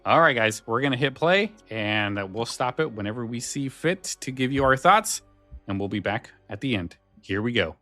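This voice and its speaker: A man co-hosting a podcast in a casual, informal and somewhat halting way. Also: medium pitch, expressive, bright, forceful tone, dry recording, faint music behind. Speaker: podcast co-host.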